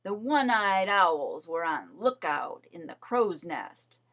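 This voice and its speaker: A woman reading a children's story aloud as narrator, in an expressive, measured storytelling manner. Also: on the low side. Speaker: storybook reader.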